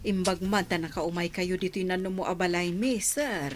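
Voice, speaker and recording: An actress playing an older, unhurried, welcoming village mother in an Ilocano radio drama, with a husky voice and an Ilocano accent. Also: lowish voice, fairly even intonation, natural voice. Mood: welcoming, curious.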